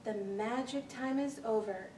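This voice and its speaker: Woman as a hushed, mysterious storybook night owl. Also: fairly high pitch, natural voice. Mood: hushed, beckoning. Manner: hushed, slow, incantatory. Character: mysterious night owl, gently beckoning in rhyme. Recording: echoey room, distant mic, faint hiss.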